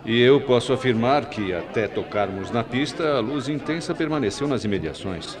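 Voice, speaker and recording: A middle-aged Brazilian man playing a composed, authoritative veteran airline captain in a radio drama, speaking in a measured, formal way. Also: mid-range voice, expressive, a crowd in the background, natural voice. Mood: earnest recounting. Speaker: radio actor. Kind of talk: 1990s radio drama acting.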